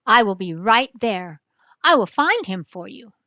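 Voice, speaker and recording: A grandmother doing a calm clever male detective. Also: mid-range voice, measured pace, no echo, exaggerated voice. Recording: dull lo-fi dry recording.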